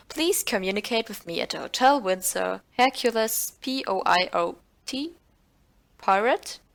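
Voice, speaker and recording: A woman's voice: mid-range voice, expressive, slow pace, creaky, pauses often. A woman playing a blunt, sarcastic rental agency clerk in an audio play, dry and offhand. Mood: dry, bored.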